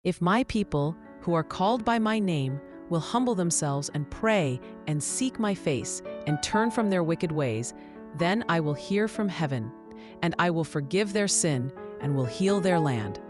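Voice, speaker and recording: A woman's voice: lowish voice, expressive, unhurried. A woman reading a scripted radio station spot with scripture, as an announcer. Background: music bed.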